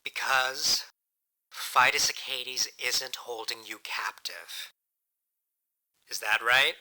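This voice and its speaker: An actor playing a sardonic, defiant, battered man in an audio drama, with blunt deadpan delivery. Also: mid-range voice, slow, low-quality recording. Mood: challenging, blunt.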